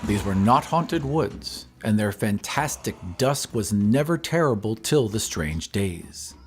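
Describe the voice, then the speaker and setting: A man reading a horror story as scripted dramatic narration, in a measured, literary storytelling manner. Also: fairly low pitch, expressive, slight background noise. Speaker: narrator of an audio drama podcast. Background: ambient sound effects.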